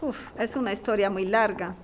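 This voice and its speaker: A woman's voice: medium pitch, moderate pace, a little hiss or hum, natural voice. A woman playing a weary, polite, deferential household cleaner in an audio drama.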